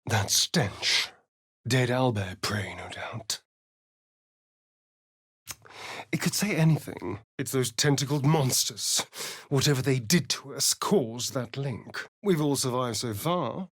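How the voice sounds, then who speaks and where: A man's voice: mid-range voice, animated, a little slow, a bit bright, slightly rough, no echo, clean studio sound, heavily acted. A man playing a vain, sardonic vampire rogue in a video game, with an arch, theatrical, drawling delivery. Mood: bitter, dramatic. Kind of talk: video game character voice lines.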